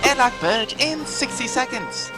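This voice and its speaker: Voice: medium pitch, expressive, a little slow, over music, close to an everyday voice, poor audio. A performer voicing a polite, chipper spaceship computer making automated announcements in a comic audio drama. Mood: pleasantly indifferent.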